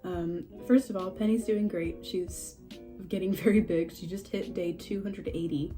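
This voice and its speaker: A young woman speaks with a stuffy, slightly scratchy voice from a cold, her tone very dark and mellow. She rambles in a chatty, self-deprecating way, often laughing at herself. Faint guitar music plays underneath. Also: fairly low pitch, fairly even intonation, normal speed. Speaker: horse breeder vlogger. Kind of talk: casual update vlog. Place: at home among houseplants.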